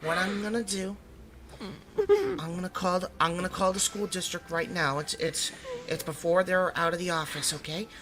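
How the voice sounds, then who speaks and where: A woman playing a protective mother in an audio roleplay, gently and earnestly reassuring her child in a halting way. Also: on the low side, lively intonation, close to an everyday voice. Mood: firm, loving. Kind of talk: audio roleplay drama acting.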